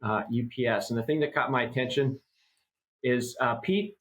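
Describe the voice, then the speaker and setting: A middle-aged man in his fifties talks through industry news on a podcast. He rambles, trails off into fillers, and keeps a relaxed, conversational flow at a middle pitch. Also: normal speed. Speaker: co-host. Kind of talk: casual podcast chat.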